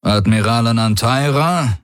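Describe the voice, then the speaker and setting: A bold alien warrior lord played by a male narrator, pitched well above his plain voice in a dry studio sound. He is commanding and self-assured, with cool mockery, in science-fiction audiobook style. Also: animated, normal speed, dry recording, natural voice. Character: proud, bold alien warrior warlord, coolly mocking. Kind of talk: audiobook character dialogue.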